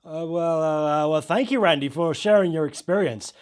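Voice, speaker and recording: A man's voice: a little high, normal speed, clear, resonant tone, lightly acted. A man voicing a polite, unsettled male late-night radio host in a horror story, hesitant and emphatic. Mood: flustered, polite.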